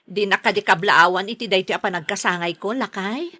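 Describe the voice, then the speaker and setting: Patient Ilocano wife and mother in radio serial: middle-aged, mid-pitched, bright, soothing. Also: a little fast, no echo, studio quality. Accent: Filipino Ilocano. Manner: pleading, soothing, maternal. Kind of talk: radio serial drama acting.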